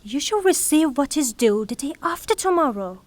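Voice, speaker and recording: A woman performs a melodramatic, well-to-do young widow in a stage-style audio play. Her voice is very high, and her delivery is formal and proud, with a haughty edge. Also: big pitch swings, normal speed, no room sound. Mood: firm. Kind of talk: theatrical audio drama acting.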